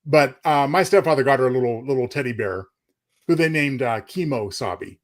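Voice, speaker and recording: A man's voice: medium pitch, normal speed. A man co-hosting a talk show, chatting casually and a little hesitantly as he shares personal remarks. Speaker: co-host.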